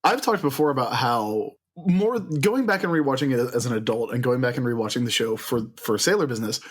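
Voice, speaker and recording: Male podcast co-host, mid-range voice, casual and wry, enthusiastic chatter. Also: medium pitch. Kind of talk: casual chat.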